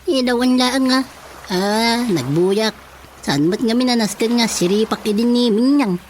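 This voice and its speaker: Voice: medium pitch, a little slow, a little hiss or hum, natural voice. A performer with an Ilocano accent playing an eager, cheeky village watchman in a radio drama comedy, giggly and full of exclamations. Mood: explaining. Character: eager, cheeky village watchman sidekick, snooping errand-runner.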